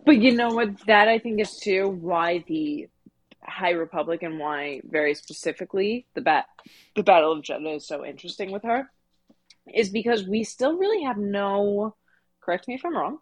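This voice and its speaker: A woman co-hosting a casual discussion podcast, speaking in an opinionated way with animated emphasis. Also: mid-range voice, normal speed. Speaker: podcast co-host.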